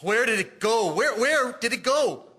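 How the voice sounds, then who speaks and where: A man's voice: extremely high pitch, animated, a little slow, sharp tone, heavily acted. A young man playing a helpful airman clerk in a historical audio drama. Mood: anxious. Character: helpful young airman clerk, anxious after accidental shot.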